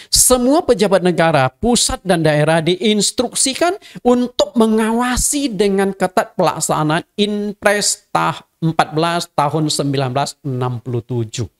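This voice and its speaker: A middle-aged man from Indonesia, a native Hakka speaker, giving a conversational sermon as a pastor and speaking directly to his congregation. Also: high voice. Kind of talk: sermon teaching.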